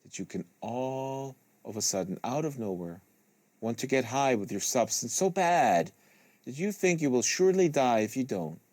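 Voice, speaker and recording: A man reading literary prose aloud like an audiobook, with deliberate phrasing, close to a studio microphone. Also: mid-range voice, animated, darkish tone, no room sound. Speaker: host reading a novel aloud. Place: small studio with acoustic foam panels.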